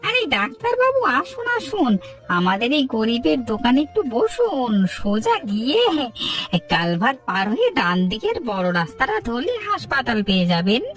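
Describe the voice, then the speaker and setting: A woman's voice: a little high, very animated, normal speed, a bit bright, distant mic, soft background music. A woman voicing a humble, deferential, welcoming village tea-stall man in a cartoon. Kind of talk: Bengali cartoon story dialogue.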